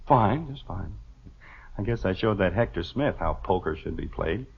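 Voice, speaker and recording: An actor playing a sheepish, boastful middle-aged husband in a 1950s radio sitcom. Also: male voice, low-pitched, expressive, moderate pace, gravelly, husky, exaggerated voice. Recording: muffled vintage radio recording.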